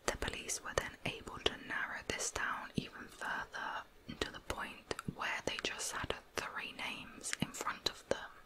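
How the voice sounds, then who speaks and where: A woman telling a true crime story as ASMR, in a soft, unvoiced whisper throughout. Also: low-quality recording.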